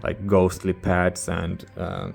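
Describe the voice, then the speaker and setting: A man's voice: low-pitched, completely flat delivery, slow pace, gravelly, a little mellow, soft background music. A man who is a non-native English speaker gives a casual, rambling review as a host, informal and hesitant as he searches for words. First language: not English. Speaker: music reviewer, channel host.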